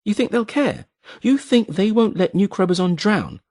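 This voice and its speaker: A man voicing a frightened, bitter adult woman in an audiobook, pleading and scornful in a voice pushed high. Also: animated, moderate pace, a little mellow. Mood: urgent. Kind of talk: audiobook character voice.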